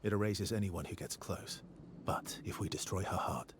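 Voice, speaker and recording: A man voicing a seasoned, grave expedition veteran in a video game cutscene, explaining things in a measured way. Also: deep voice, noisy background, natural voice. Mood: grave, resolute.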